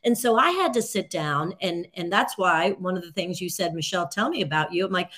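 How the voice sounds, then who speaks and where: Expressive woman telling personal stories. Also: lowish voice, fairly quick. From United States. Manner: expressive, acts out quoted dialogue. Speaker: interview guest, university teacher. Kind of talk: personal storytelling in conversation.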